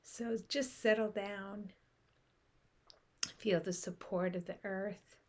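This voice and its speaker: A woman guiding a meditation in a soft, unhurried way with long pauses, her breathy voice often trailing off into a whisper. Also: mid-range voice, steady tone, a little hiss or hum. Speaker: meditation guide. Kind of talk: guided meditation.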